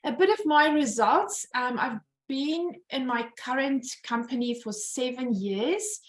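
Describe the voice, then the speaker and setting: South African woman in her 50s, earnest storyteller with rhetorical questions, slightly high voice, echoey room. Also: unhurried. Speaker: business owner giving a presentation. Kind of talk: personal-story presentation. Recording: roomy echo.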